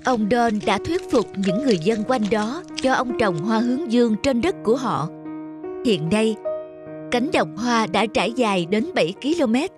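A woman's voice: fairly high pitch, expressive, normal speed, music in the background. A woman narrating a scripted story as the narrator.

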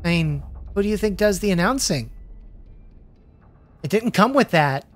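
A man hosting a show with a casual introduction, playful, rambling and halting. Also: high voice, lively intonation, faint music behind. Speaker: host.

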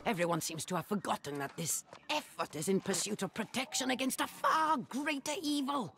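A woman's voice: a little high, brisk, natural voice. An actress voicing a driven female researcher in a fantasy game, clinical, self-justifying and theatrical as she narrates her journal. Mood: angry, defensive. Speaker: voice actor of a game character.

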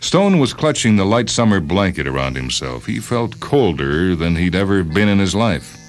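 Very deep-voiced man narrating a story. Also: sing-song, moderate pace. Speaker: narrator reading a short story. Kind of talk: scripted dramatic narration. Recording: music bed under the voice. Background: music.

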